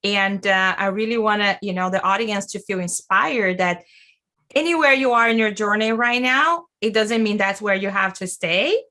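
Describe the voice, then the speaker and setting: Bright-voiced enthusiastic woman host. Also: mid-range voice, moderate pace. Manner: warm enthusiastic, loose grammar with restarts. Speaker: podcast host. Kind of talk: casual interview.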